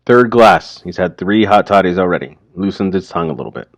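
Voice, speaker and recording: A man's voice: low-pitched, expressive, fairly quick. A man explaining a short story in an informal, colloquial teaching style, checking in with pointed questions.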